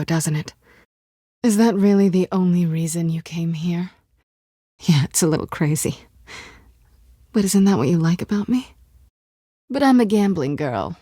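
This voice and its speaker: Actress doing a flirty guarded thief woman from a video game, american, breathy husky, teasing, super dry close recording. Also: fairly low pitch, mellow, no room sound, close to an everyday voice. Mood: soft, intimate. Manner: teasing, coolly wry. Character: sly, guarded woman cat-burglar with a flirtatious edge.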